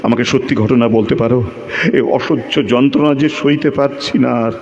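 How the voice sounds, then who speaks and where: A man acting a tormented, lovelorn character in an audio drama, agitated and halting as he repeats his words. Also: medium pitch, steady tone, a little mellow, highs cut off, close to an everyday voice. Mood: anguished, pleading.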